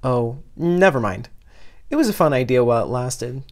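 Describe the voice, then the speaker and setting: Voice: medium pitch, expressive, moderate pace, darkish tone, natural voice. Easygoing teenage skateboarder in an audio story, played by the narrator: friendly, upbeat, exclaiming. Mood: cheerful.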